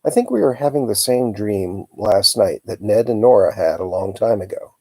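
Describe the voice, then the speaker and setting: A man's voice: fairly low pitch, lively intonation, moderate pace, no room sound. A man reading the part of a thoughtful, brainy young girl in a storybook, reasoning things out aloud.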